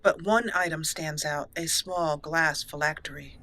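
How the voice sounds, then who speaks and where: A woman's voice: sits low, unhurried, bright, forceful tone, a little hiss or hum. A woman hosting a let's play, chatting through her gameplay in an upbeat way and reading the game's text aloud as roleplay narration. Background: faint game music.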